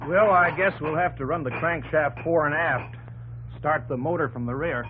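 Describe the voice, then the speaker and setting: A man's voice: a little high, normal speed, slightly rough. An American actor playing an earnest, thoughtful young male aviation pioneer in 1950s radio drama style. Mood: matter-of-fact. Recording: muffled, noisy old radio broadcast recording.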